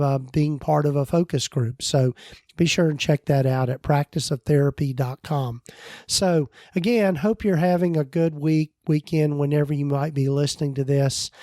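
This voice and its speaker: A middle-aged man hosting a podcast alone, his voice going hoarse, talking loosely with self-corrections. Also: a little high, dark tone, no room sound, clean studio sound. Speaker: podcast host and practice consultant. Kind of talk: solo podcast talk.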